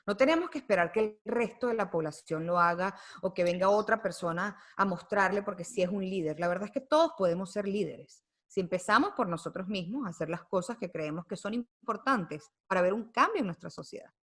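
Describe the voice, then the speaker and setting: A woman's voice: medium pitch, expressive, brisk, hardly any pauses, fairly bright tone. A woman guest on a podcast, speaking thoughtfully with many fillers and tag questions. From United States. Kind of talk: reflective conversational interview.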